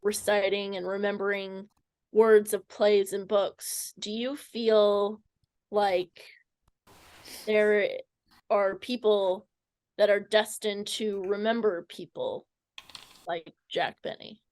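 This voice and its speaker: A woman conducting a conversational interview, putting her questions in a measured way with pauses partway through. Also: mid-range voice, little pitch movement, darkish tone, no room sound.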